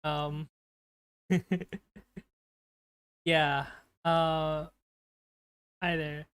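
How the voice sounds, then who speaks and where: A man's voice: a little high, flat delivery, drawn-out words, a bit bright, clear, resonant tone, no room sound. A man streaming and chatting casually, nervous and stammering, with many fillers, on a home desktop mic. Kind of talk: casual live-stream chat. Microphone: desktop streaming mic with noise gate.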